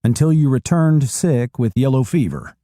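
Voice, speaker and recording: A man's voice: medium pitch, animated, normal speed, mellow, no room sound, clean studio sound, natural voice. Man reading as a formal army doctor. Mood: probing. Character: earnest older male army doctor questioning a soldier.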